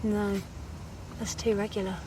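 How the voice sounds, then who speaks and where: A woman's voice: medium pitch, flat delivery, measured pace, far from the mic, noisy background, natural voice. A woman acting as a composed, inquisitive British scientist in a naturalistic audio drama. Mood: focused.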